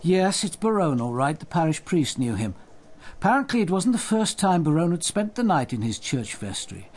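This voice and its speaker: An actor playing a senior police detective in an audio drama, with an English accent and a measured, precise, cultured way of speaking. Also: male voice, fairly high pitch, sing-song, darkish tone. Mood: brisk, authoritative. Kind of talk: audiobook radio drama, dialogue and reflective narration.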